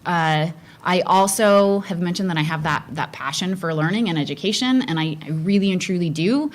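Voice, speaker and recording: A middle-aged woman, a school board candidate, answering forum questions earnestly with frequent restarts. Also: lowish voice.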